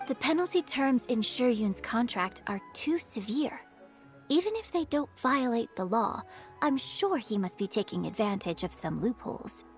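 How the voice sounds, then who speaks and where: A young opera performer from a video game, courteous and composed with a quietly cunning edge. She speaks in a slightly high, measured voice with music playing underneath. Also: lively intonation. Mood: calm, sly. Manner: composed, courteous, feigned innocence. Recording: background music under dialogue.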